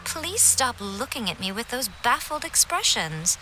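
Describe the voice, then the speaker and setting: A woman playing a sly, persuasive, teasing festival organizer in a video game, speaking in a measured and eloquent way. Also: fairly high pitch, very animated, over music, rough recording. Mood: coy persuasion.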